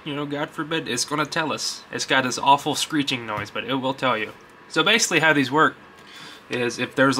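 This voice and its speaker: A young man with a very bright, forceful voice and an ordinary mid-range pitch talks in a rambling, informal way. He sounds like a hobby vlogger doing an unscripted show-and-tell, with faint noise behind him. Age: young adult. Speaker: host of a vintage electronics collecting channel. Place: basement.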